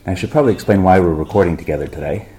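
A man hosting his own podcast in casual chat, gravelly from a cold, chatty and laughing often. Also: sits low, rapid-fire, dark tone. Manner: chatty, laughs often, light self-mockery. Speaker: podcast host.

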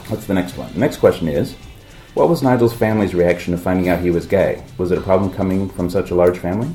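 A man hosting his own podcast in casual chat, gravelly from a cold, chatty and laughing often. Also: low-pitched, fast, mellow, faint music behind. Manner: chatty, laughs often, light self-mockery. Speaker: podcast host.

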